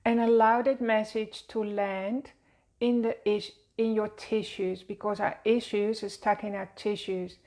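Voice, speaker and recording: A woman with a Dutch accent guiding a meditation lesson in a slow, gentle, soothing way, seated and speaking to camera. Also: mid-range voice, flat delivery. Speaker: wellness teacher. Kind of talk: guided meditation teaching. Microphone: seated at a desk, speaking to camera.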